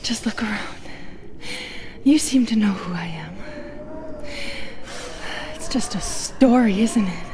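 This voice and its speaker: A woman playing a menacing, mocking female monster in an audio roleplay, whispering and taunting in a deliberate way. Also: mid-range voice, background noise, close to an everyday voice. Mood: angry, taunting. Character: menacing, mocking modern Medusa, undying lonely female monster. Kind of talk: intimate audio roleplay, dramatic monologue.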